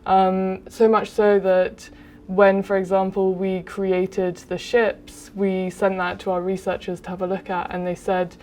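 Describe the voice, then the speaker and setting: A young adult woman, a game director, explaining her work in an interview with many pauses of "um". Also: medium pitch, a little hiss or hum. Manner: even, explanatory, with frequent "um". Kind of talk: interview answers.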